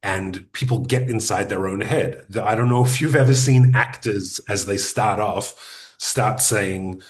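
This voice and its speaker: A man teaching a podcasting workshop in a conversational way, speaking deliberately, using pauses and sometimes restarting his sentences. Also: lowish voice. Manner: addresses audience directly with practical advice.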